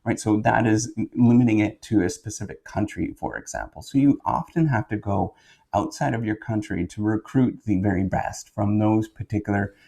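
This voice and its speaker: A man with a Canadian accent giving a casual explainer monologue as a professor, in a halting, rambling way, correcting himself and checking in as he goes. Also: on the low side, gravelly, warm tone. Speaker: professor and video host.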